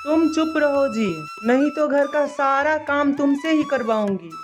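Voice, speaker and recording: A woman playing a domineering, bad-tempered merchant's wife in an animated moral story, scolding and haughty. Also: high-pitched, unhurried, music in the background. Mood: scolding, bossy.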